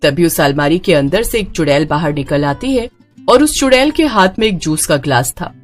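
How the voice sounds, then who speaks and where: A woman's voice: low-pitched, animated, normal speed, faint music behind. A woman storyteller giving dramatic scripted narration of a horror tale.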